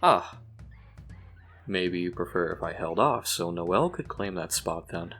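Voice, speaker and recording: A man playing a deadpan, sarcastic teenager with a laconic, dryly teasing delivery in an audio drama. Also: sits low, a little slow, faint music behind. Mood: dry, sardonic.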